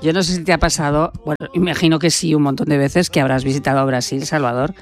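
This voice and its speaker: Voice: mid-range voice, fairly even intonation, quick pace, faint music behind. A co-host in an interview who speaks enthusiastically and expressively. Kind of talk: interview conversation.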